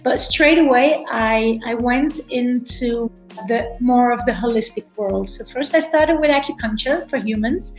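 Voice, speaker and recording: Lady chatting in an interview, a bit high voice, lots of restarts, roomy echo and faint music behind. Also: measured pace. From Belgium. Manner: repeats words and restarts often. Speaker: guest, veterinarian and animal chiropractor. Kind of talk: casual interview talk. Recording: echoey room, faint music.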